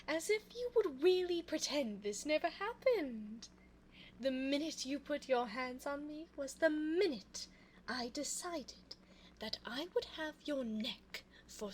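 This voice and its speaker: Woman playing a high, slow-talking seductive vampire lady. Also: full character voice, low-quality recording. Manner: theatrical, coy, taunting, breathy asides. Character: evil seductive immortal female vampire, sly and possessive. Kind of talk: ASMR audio roleplay. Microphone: close binaural 3Dio microphone. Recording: faint background noise, close binaural mic.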